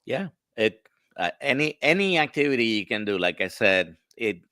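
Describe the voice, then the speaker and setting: A man with a Spanish-sounding accent from a stroke, a doctor explaining in slow, halting phrases on a live stream. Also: medium pitch, very animated, sharp tone, dry recording, clean studio sound. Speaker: doctor guest. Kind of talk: casual live-stream chat.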